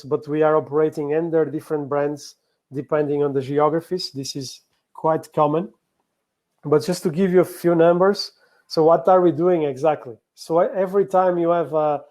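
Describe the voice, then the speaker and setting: Male conference speaker, Portuguese accent, native Portuguese speaker. Soft, dark timbre, slightly high pitch, explanatory business delivery. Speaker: conference speaker, operational excellence professional. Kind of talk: business presentation.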